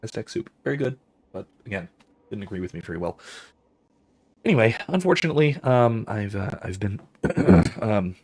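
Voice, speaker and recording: A male host with a hoarse, husky voice, losing it, signing off a game stream in a halting, rambling way. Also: lowish voice, animated, a little mellow. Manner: rambling, halting, many fillers. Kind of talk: casual let's-play sign-off.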